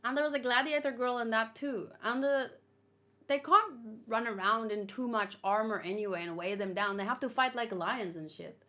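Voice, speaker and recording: A woman's voice: on the high side, moderate pace, sharp tone. A woman giving informal, opinionated commentary as she hosts a casual live read-along and talks with chat. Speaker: manga read-along host.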